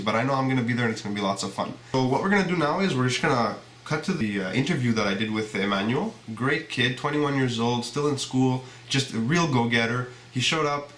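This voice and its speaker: A man's voice: mid-range voice, fast talker, few pauses, a bit bright. A man hosting a show, casually promoting to viewers in an informal, jokey way. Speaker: show host. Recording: echoey room with faint ambient noise.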